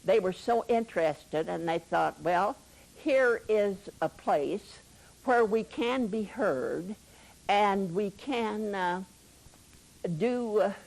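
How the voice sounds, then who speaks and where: Slow, creaky older woman. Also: mid-range voice, expressive, darkish tone, rough recording. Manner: halting, pausing often. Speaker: interviewee sharing recollections. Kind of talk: casual reminiscing.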